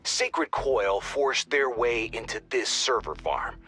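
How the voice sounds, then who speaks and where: An actor playing a brisk, wry mission controller giving informal, urgent dispatches in a game. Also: male voice, medium pitch, lively intonation, bright, forceful tone, slightly rough, heavily acted. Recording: music under the voice.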